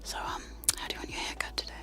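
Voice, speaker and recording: Softly whispering woman, close to the mic. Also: racing. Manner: soft whisper, giggly and self-deprecating. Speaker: ASMR streamer. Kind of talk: whispered ASMR chat with trigger sounds. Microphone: close sensitive microphones.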